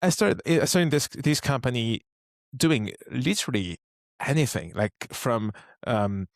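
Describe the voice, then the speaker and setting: A man in his thirties with a French accent, speaking English as a second language, chatting as an interview guest. He talks in a relaxed way, thinking aloud with frequent restarts. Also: mid-range voice, unhurried, no echo, clean studio sound. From France. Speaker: guest, startup co-founder. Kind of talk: casual interview conversation.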